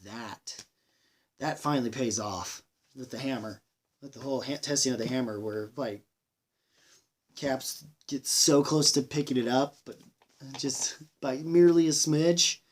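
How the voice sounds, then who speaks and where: A man giving a casual movie review monologue, rambling and halting, with enthusiastic delivery and plenty of swearing. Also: medium pitch. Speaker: film reviewer, channel host.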